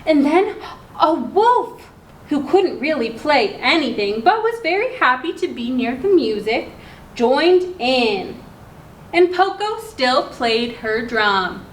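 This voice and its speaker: Female children's storyteller, unhurried and instructive, asking simple questions; roomy recording with some noise behind. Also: high voice, sing-song. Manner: simple, instructive, pausing to ask the listeners questions. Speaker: children's story time host at a library. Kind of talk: read-aloud storytelling for young children with questions. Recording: roomy echo, clear background noise.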